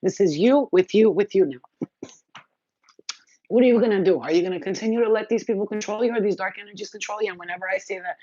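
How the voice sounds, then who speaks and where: A woman's voice: mid-range voice, fast, dark tone, no room sound, dull audio. Blunt, profane woman preaching to viewers. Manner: addresses viewers directly, reads their comments aloud. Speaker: spiritual healer livestream host. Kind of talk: spontaneous spiritual livestream talk.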